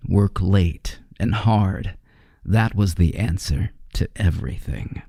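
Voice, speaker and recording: Man acting a slow, brooding, obsessive radio-drama chemist. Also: sits low, creaky, mellow, no room sound, studio quality, full character voice. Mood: haunted, brooding. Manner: deliberate, repetitive, brooding monologue. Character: brooding, obsessive, domineering chemist haunted by guilt. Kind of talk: old-time radio horror drama acting.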